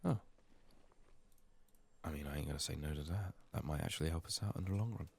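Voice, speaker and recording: A man giving soft-spoken, partly whispered game playthrough commentary, murmuring his thoughts aloud in an unhurried, casual way, his voice strained from being sick. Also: a bass voice, vocal fry, slightly rough. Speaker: gaming video host.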